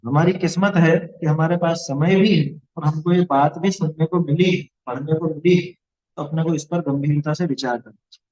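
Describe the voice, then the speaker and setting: A man's voice: on the high side, normal speed, a little mellow, highs cut off. A man teaching a religious studies lesson to a class of children, explaining points and asking the class questions.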